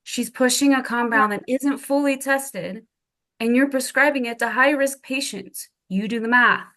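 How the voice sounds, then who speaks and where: A woman playing a stern, coolly threatening medical colleague with a deliberate, pointed delivery at a drama table read. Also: a little high, fairly bright tone, lightly acted. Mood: stern, critical.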